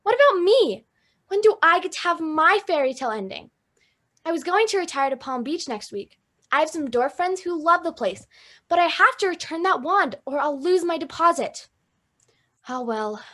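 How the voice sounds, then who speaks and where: A woman's voice: very high-pitched, sing-song, moderate pace, a bit bright, full character voice. A young woman playing an aggrieved, self-pitying fairy godmother in a comic stage play. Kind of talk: comic stage acting over video call.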